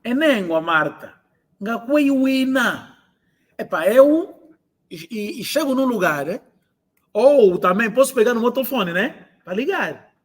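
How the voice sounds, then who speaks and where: A man teaching an online language class, explaining and translating phrases and repeating them slowly for learners. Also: very high-pitched, animated.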